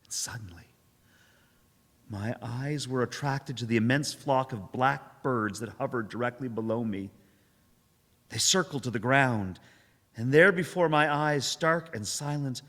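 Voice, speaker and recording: A haunted professor, played by a man, recounts surviving an alien invasion in a radio drama. His medium-pitched voice is solemn and theatrical, with deliberate, suspenseful phrasing. It rings out with long reverb in a live church hall. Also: fairly bright tone. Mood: urgent, awed. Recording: echoey hall, live stage recording.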